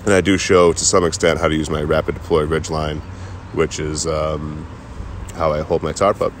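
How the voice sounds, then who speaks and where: A man in his thirties giving a relaxed, rambling voice-over about setting up his camp, with many fillers. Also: a bass voice, normal speed, a little hiss or hum. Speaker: camping vlogger. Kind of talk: casual voice-over narration.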